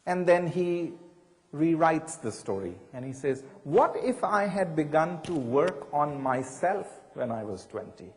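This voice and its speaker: A reflective man speaks slowly and deliberately, often turning his thoughts into questions for the audience. His voice sits slightly higher than most men's. A long reverb from a large room trails after his words. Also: big pitch swings, a little mellow. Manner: measured, reflective, rhetorical questions. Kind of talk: reflective talk.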